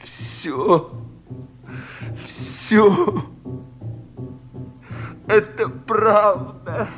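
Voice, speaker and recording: An actor playing a despairing, bitterly mocking young provincial official in a theatrical radio drama, with long dragging pauses. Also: male voice, very high-pitched, fairly bright tone, full character voice. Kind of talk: 1970s Russian radio drama acting.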